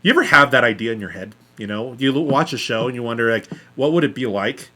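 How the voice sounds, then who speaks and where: A man co-hosting a podcast, talking in a casual, joking way, halting and discursive with some swearing. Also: mid-range voice, expressive, pushed and bright. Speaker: podcast co-host.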